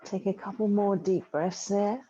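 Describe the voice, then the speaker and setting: Mellow, soothing woman guiding relaxation. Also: medium pitch, steady tone. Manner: soothing, unhurried instruction with long pauses. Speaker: yoga teacher leading a class. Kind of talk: guided relaxation meditation. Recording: dull muffled audio, faint background noise.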